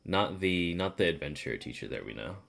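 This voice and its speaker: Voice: low voice, lively intonation, fairly quick, vocal fry, fairly bright tone. A game streamer chatting casually on a livestream in a slangy, irreverent way, swearing freely. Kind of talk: casual livestream gaming chat.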